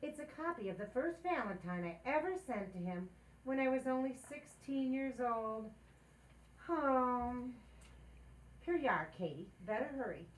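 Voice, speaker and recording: A woman playing a scheming, excitable housewife with dry wit, wisecracking theatrically in an old-time radio sitcom. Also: a little high, measured pace, low-quality recording. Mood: wry.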